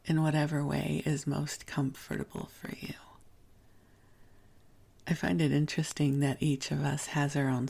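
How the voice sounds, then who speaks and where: A sleep podcast host talking softly and gently in a breathy, husky, half-whispered voice, soothing and unhurried, as if keeping a listener company at bedtime. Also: mid-range voice. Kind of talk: soft, intimate sleep podcast talk.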